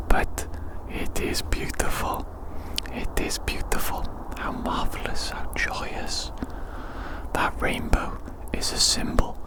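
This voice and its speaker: An ASMR creator rambling chattily in a breathy, unvoiced whisper outdoors, softly repeating phrases. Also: low-quality recording. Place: outdoors in the woods in winter. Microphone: action camera.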